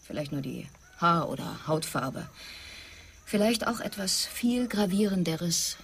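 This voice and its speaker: An actress in a German radio drama playing a sober female geneticist who explains precisely in a husky voice. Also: on the low side, very animated, a little slow, slight background noise, exaggerated voice. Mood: grave, explanatory.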